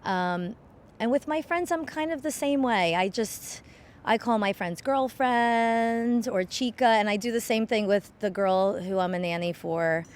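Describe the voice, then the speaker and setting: A woman's voice: medium pitch, a little slow. A woman answering a street interview in a chatty, informal way.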